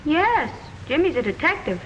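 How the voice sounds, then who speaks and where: An actress playing a sharp-tongued young woman engaged to a detective, in 1940s radio drama style. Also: sits high, sing-song, moderate pace, slight background noise. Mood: sassy. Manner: sassy, dry. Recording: dull old radio recording.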